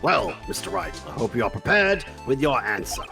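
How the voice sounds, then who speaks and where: A man voicing a pompous, befuddled older judge in a live comedic dub of a courtroom game, with formal, deliberate questions. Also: medium pitch, sing-song, creaky. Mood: solemn, puzzled. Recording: music behind the voice.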